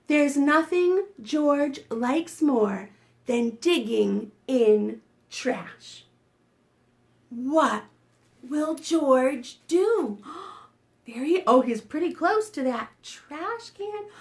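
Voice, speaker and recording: A woman hosting a preschool storytime, reading aloud expressively and coaxing young listeners with questions. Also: high voice. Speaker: children's librarian, storytime host. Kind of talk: animated read-aloud for preschoolers.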